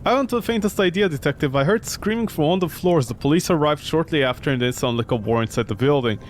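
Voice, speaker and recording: A man voicing a calm, long-winded old immigrant woman, a former policewoman, in a game. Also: a little high, quick pace, a little hiss or hum, close to an everyday voice. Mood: calm, wistful. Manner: recounting her life at length.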